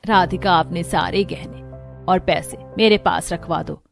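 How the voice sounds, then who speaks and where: A woman's voice: fairly low pitch, steady tone, unhurried, gravelly, soft background music. A woman playing an imperious, protective, wealthy mother-in-law in a story, with a commanding manner. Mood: stern.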